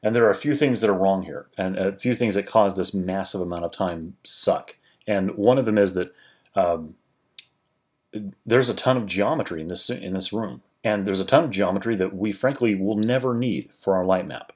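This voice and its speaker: A man with a fairly low voice narrates a screen-recorded 3D tutorial. He explains each step calmly and informally, often restarting his sentences as he thinks. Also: moderate pace. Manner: relaxed, explanatory, with frequent restarts. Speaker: 3D tutorial creator.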